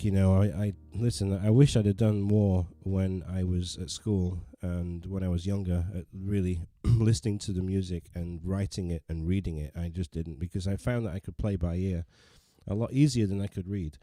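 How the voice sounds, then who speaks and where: A man hosts a relaxed piano livestream from his living room, talking in a loose, rambling way and laughing at his own limits. His voice sits a little low and sounds very warm and dark. A piano plays softly behind him. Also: normal speed, hardly any pauses, dry recording. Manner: rambling, self-deprecating, many restarts. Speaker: livestream host and pianist. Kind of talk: casual livestream chat.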